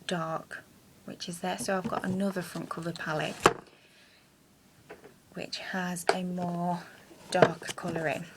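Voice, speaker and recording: Croaky-voiced woman chatting through makeup. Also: lowish voice, background noise. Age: young adult. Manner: chatty, rambling, with hesitant fillers. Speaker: makeup vlogger. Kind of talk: casual makeup tutorial. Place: small room at home, indoors. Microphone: built-in camera mic at close range.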